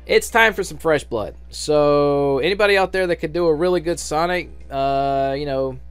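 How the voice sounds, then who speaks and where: A man's voice: fairly high pitch, normal speed, smooth, no echo, soft background music. A man giving an unscripted, casual audition announcement for a fan dub, speaking in a hesitant, rambling way with frequent restarts.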